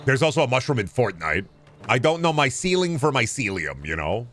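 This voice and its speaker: A man chatting casually as a video game streamer, rambling in a halting way and often repeating phrases. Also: medium pitch, big pitch swings, a bit bright, soft background music. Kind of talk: casual rambling livestream chat.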